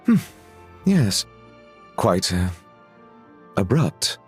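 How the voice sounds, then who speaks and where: A man's voice: lowish voice, sing-song, drawn-out words, slightly rough, faint music behind, exaggerated voice. A man voicing a dignified, courteous dragon judge in a video game, speaking formally and with awkward hesitation. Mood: awkward, hesitant.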